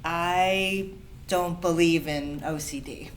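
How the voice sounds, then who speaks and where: A woman's voice: on the low side, fairly even intonation, takes it slowly, a little hiss or hum. A woman playing a controlling, distrustful mother with a curt, insistent manner in a staged drama reading. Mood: dismissive, bitter. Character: defensive, controlling, distrustful mother of a young girl. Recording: echoey room recording.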